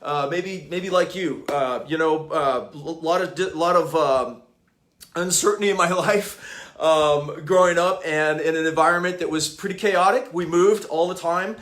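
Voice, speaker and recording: A middle-aged man from Hawaii hosts a casual live stream, his voice sitting a little on the higher side. He stops and restarts often, filling gaps with uh and um. Underneath the hesitations he stays enthusiastic as he reads reviews aloud and talks about growing up. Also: a bit bright. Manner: halting, many uh and um fillers, enthusiastic. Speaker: author and app developer hosting a live stream. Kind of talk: casual livestream talk, reading reviews aloud.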